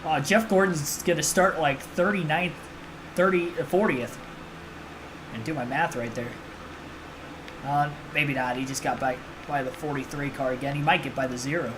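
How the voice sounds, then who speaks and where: A man in his twenties chatting excitably as he plays and talks over a racing game on a livestream. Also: a little high, background noise. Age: 20s. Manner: chatty, excitable, quick reactions. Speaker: livestream host. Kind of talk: casual live gameplay commentary.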